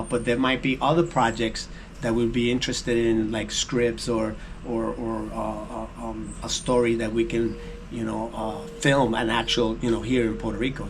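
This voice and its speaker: A man interviewed as a guest actor and producer, talking haltingly with many fillers and restarts. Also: medium pitch. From United States. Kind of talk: casual interview. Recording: noisy location recording.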